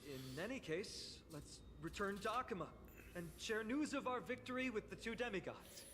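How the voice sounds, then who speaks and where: A man playing an earnest, thoughtful young hero warrior in a video game, with measured, sincere delivery. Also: high voice, animated, a bit bright, a little hiss or hum. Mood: reflective. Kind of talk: scripted video game voice acting. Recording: long reverb, game music behind.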